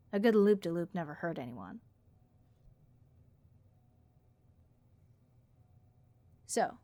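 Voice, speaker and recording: A snarky harpy airline copilot, played by a woman, chatty and thoroughly bored. The voice is raspy with vocal fry, mid-pitched and recorded very dry. Lines come out as overdramatic, deadpan grumbling in a comedy audio roleplay. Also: no room sound. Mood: chatty, teasing. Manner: overdramatic, deadpan complaining with drawn-out emphasis. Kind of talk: comedic audio roleplay monologue addressed to listener.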